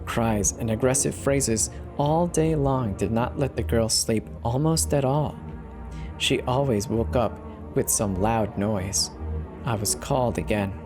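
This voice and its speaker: A man's voice: mid-range voice, measured pace. A man narrating a horror story as a dramatic scripted reading. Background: background music.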